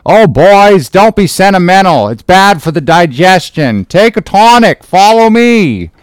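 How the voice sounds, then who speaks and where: A cheery sailor jokes with the crew, as a male reader puts him on. His voice is high and hearty. He sounds jocular. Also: very animated, unhurried, smooth, no room sound.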